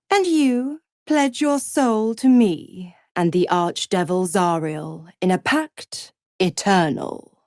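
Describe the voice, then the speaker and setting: A woman's voice: mid-range voice, darkish tone, dry recording, studio quality. An actress voicing a seductive, cruel and smug female devil in a video game, with a teasing, condescending, theatrical drawl. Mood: smooth, scheming. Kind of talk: video game character voice acting.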